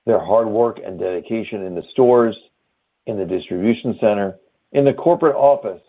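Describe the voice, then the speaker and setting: A man giving formal, deliberate scripted remarks as an executive on an earnings call. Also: fairly low pitch. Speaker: company chief executive. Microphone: conference call phone line. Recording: narrowband phone conference line.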